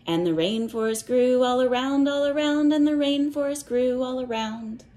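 A woman reading a picture book to young children and explaining it in simple words, with a sing-song, measured cadence. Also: high-pitched, dark tone. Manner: simple, instructive phrasing aimed at young children. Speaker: read-aloud teacher for young children.

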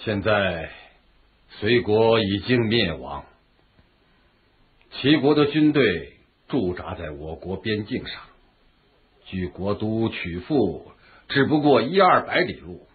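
A troubled ruler of ancient China, speaks slowly and gravely. His voice is very husky. The sound is narrow and phone-like, in radio drama style. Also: fairly low pitch, sing-song, full character voice. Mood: grave, worried. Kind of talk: historical radio drama acting.

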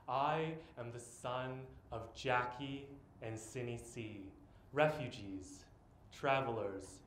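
A man in his twenties performing an autobiographical spoken-word monologue on stage, with dramatic, deliberate phrasing and long pauses. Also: mid-range voice, a bit bright. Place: live on stage in a theatre. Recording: echoey theatre, live stage recording, background noise.

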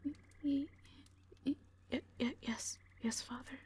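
A woman playing a shy, anxious young owl noblewoman in an audio drama, stammering softly and hesitantly. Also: high-pitched, fairly even intonation, slow pace, breathy edge, full character voice. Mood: timid, pleading.

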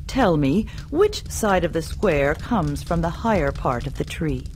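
A woman voicing a stern male messenger from an emperor, speaking in a formal, measured way. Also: sits low, lively intonation, darkish tone, a little hiss or hum. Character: stern male imperial envoy posing riddles.

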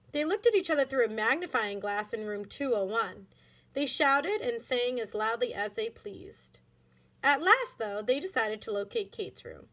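A woman reading a children's book aloud like a schoolteacher, in an expressive, deliberate manner. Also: on the high side. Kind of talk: children's storybook narration.

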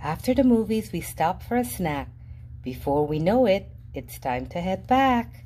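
A woman reading a children's picture book aloud in a lively, sing-song storybook voice with playful jumps in pitch. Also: unhurried, a little hiss or hum. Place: indoors at a table, reading an open book. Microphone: camera above the book, reader unseen.

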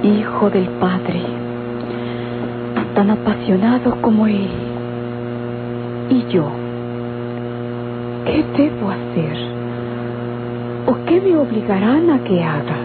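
A woman's voice: mid-range voice, lively intonation, slow pace, pauses often. An actress with a Mexican accent playing a dutiful, resigned young countrywoman in a radionovela, speaking formally and earnestly. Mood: troubled. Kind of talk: golden-age radionovela acting. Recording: tinny vintage radio recording, loud music.

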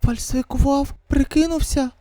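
A manga reader voicing an anxious, excitable young character with a high, stammering, pleading delivery. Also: moderate pace, no room sound, close to an everyday voice. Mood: startled, nervous.

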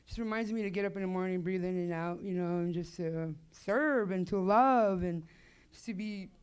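A woman's voice: fairly low pitch, normal speed, clear, resonant tone. A woman giving a fervent, devout testimony on a church stage into a handheld mic. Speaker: program graduate. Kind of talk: personal testimony.

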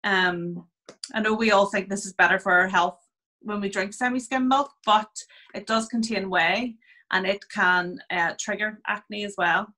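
A woman's voice: mid-range voice, a little slow, pushed and bright. A woman in her thirties, a skin clinic therapist, talking on a video call in a casual, chatty way with many fillers as she explains skincare step by step.

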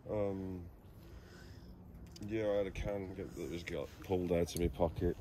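A man's voice: sits low, steady tone, moderate pace, dark tone. A man hosting a ghost-hunting livestream outdoors, chatting casually and hesitantly with some swearing. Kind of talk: casual outdoor vlog chat. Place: outdoors in a forest at night. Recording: very noisy outdoor location recording.

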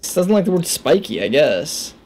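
A man in his thirties giving casual gameplay commentary, halting and muttering to himself as he names his discoveries. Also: on the high side, very animated, gravelly, a little mellow. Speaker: gaming video host. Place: small indoor room at a computer.

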